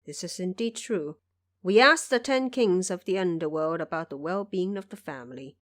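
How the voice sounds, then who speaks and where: A woman voicing a grave, composed male emperor, measured and regal, in an audio drama. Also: on the low side, expressive, a bit bright, no echo, clean studio sound. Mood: resigned, grave.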